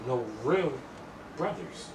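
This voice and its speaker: A man's voice: on the high side, animated, slow pace, a loud crowd. A man co-hosting a podcast, chatting and telling stories in an emphatic, slangy way with repeated phrases. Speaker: podcast co-host. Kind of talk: casual chat and storytelling. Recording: echoey room, distant mic, clear noise.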